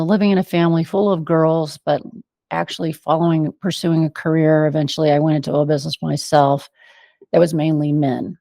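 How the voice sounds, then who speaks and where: An American woman speaks as an interview guest, telling personal stories in a reflective, meandering way. Her voice sits low for a woman, with plenty of vocal fry. The recording is close and dry, with little room sound. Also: a little mellow, no room sound. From United States, Venezuela and Argentina.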